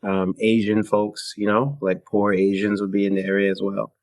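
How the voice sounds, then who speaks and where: A man from Canada, a guest musician in a podcast interview, talking in a relaxed, laid-back way with fillers and small laughs. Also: on the low side, normal speed. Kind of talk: casual interview talk.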